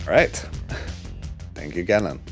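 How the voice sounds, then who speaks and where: A young man with a lowish voice commentating his speedrun in a loose, chatty way, often pausing on "uh" and laughing lightly. Game music plays faintly behind him. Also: big pitch swings. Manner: chatty, with frequent uh fillers and laughs. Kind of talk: casual commentary while gaming.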